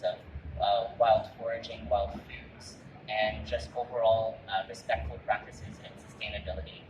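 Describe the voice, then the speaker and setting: Man speaking from a distance in a roomy space. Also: mid-range voice. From Canada. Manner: earnest, warm reminiscing with frequent restarts. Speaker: chef giving a talk. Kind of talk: personal storytelling talk. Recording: roomy, distant mic with loud background noise.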